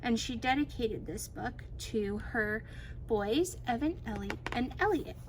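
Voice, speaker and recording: A woman reading a children's storybook aloud in an expressive, animated storytelling manner. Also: fairly high pitch, a little slow, noisy background. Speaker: teacher reading a picture book aloud.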